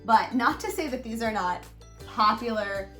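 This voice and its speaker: A woman's voice: on the high side, expressive, moderate pace, sharp tone, roomy sound, faint music behind. A young American woman co-hosting a book show in casual, enthusiastic chat with a playful, joking, slangy manner.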